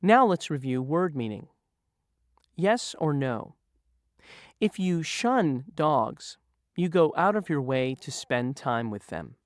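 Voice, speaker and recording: Lively voice reading review questions. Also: mid-range voice, takes it slowly, dry recording, clean studio sound. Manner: poses a choice, then confirms the right answer. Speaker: quiz reader. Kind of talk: lesson quiz narration.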